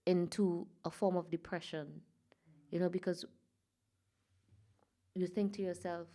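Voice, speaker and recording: A woman from Trinidad and Tobago, a guest in an interview, talking softly and reflectively with many pauses and fillers. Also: lowish voice, little pitch movement. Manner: soft, reflective, halting with fillers. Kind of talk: personal interview.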